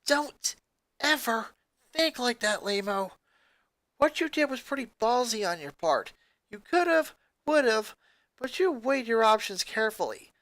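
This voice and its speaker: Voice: medium pitch, fairly bright tone, no room sound, pitched above the performer's own voice. A performer voicing a blunt, protective young schoolkid in a story reading. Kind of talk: acted fan fiction reading.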